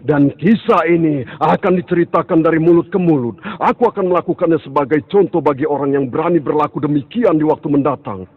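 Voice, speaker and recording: Gravelly tyrant king Namrud. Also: fairly high pitch, no echo. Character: tyrannical arrogant king Namrud, grown man. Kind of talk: dubbed cartoon acting. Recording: muffled lo-fi dub over music.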